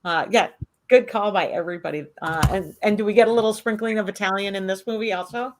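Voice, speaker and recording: A woman hosts a casual interview in a chatty, affirming way, often tagging her lines with "right?". Her voice has a middle pitch and a conversational pace. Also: fast, noisy background. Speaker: entertainment show host.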